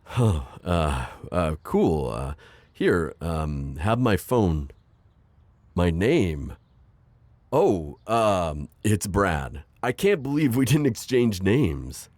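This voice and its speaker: A man playing a deep-voiced, bashful divorced dad. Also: big pitch swings, extremely slow, no echo, exaggerated voice. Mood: shy, flirty. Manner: hesitant, full of ums and stammers, joking softly. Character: gentle, self-deprecating divorced middle-aged construction-worker dad. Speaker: voice actor. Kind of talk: ASMR roleplay.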